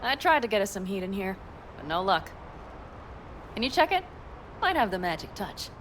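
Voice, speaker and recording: A tough young nomad woman from a video game, blunt and wry, used to taking charge. Her pitch moves freely. Loud vehicle and weather ambience runs behind the voice. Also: sing-song, normal speed, a little hiss or hum. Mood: dry, practical. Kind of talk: cinematic video game acting.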